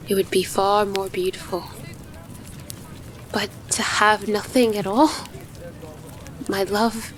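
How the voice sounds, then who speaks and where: A woman playing a devoted, resolute consort in a fantasy audio drama, speaking in an earnest, measured way. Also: medium pitch, fairly bright tone, a little hiss or hum, rough recording.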